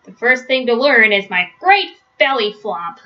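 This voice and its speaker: A woman's voice: fairly high pitch, big pitch swings, measured pace. A woman voicing a boastful, overconfident father bear from a storybook, showily instructing his son with emphasis. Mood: eager, boastful. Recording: dull, lo-fi webcam sound.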